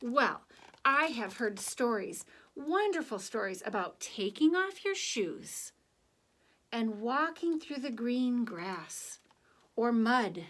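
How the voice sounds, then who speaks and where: Expressive woman reading a children's story, higher-pitched. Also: slow. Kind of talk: read-aloud children's picture book narration with asides.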